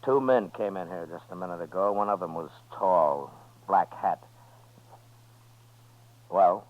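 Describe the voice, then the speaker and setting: Calm, laconic US marshal, very low voice, American, vintage radio western, dull old recording. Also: male voice. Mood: firm, steady. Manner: laconic, measured, understated. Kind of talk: 1950s radio western acting and narration.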